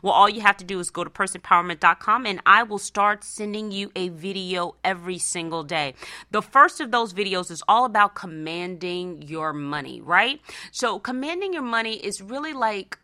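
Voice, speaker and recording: Give a woman radio host with a bright, forceful voice at a middle pitch. She talks in a conversational, animated way, coaching listeners with a motivational style. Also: normal speed. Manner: animated, conversational, acts out imagined remarks. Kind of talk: motivational personal finance radio talk.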